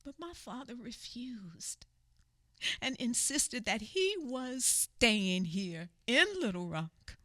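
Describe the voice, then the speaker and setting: An actress in a radio theater play, voicing a grown woman who recalls a hard childhood with quiet resolve. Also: a little high, lively intonation, slow pace, no echo, clean studio sound. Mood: resolute.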